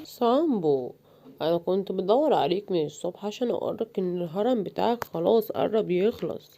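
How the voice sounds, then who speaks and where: A woman's voice: medium pitch, animated, brisk, creaky, warm tone. A woman with an Egyptian accent voicing a fatherly, commanding king in a children's cartoon. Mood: fond. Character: father lion king, fond, bossy, protective of his son. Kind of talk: animated children's story.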